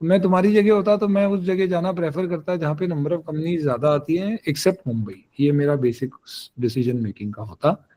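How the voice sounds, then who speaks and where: An Indian man with a moderately high voice answers questions as a webinar mentor. He explains things in a frank, informal way and slips between Hindi and English as he advises. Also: steady tone, moderate pace, mellow. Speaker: careers webinar host and mentor. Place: online webinar call.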